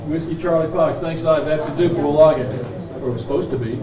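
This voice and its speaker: A man's voice: a little high, fast talker. A man leading a practice exercise as a radio operator, speaking in live amateur radio call procedure. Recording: echoey room, distant mic, dull, audience noise.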